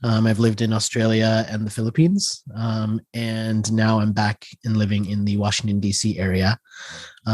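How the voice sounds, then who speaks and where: A man in his forties, a guest author, explaining his ideas in a measured way. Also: on the low side, darkish tone, no echo. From India, raised in the US. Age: 40s. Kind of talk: interview answers.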